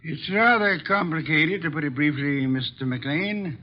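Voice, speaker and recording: An actor playing a shrewd, imperious private detective with formal, deliberate speech in an old radio drama. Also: male voice, on the high side, natural voice. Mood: measured, probing. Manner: formal, deliberate, precise questioning. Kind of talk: 1940s-50s radio detective drama acting. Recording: dull old radio broadcast recording.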